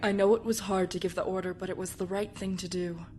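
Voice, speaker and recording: Casting: actress as calm female admiral, medium pitch, understanding officer, sci-fi anime drama, slight background noise. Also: fast, close to an everyday voice. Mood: reassuring. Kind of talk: sci-fi anime parody acting.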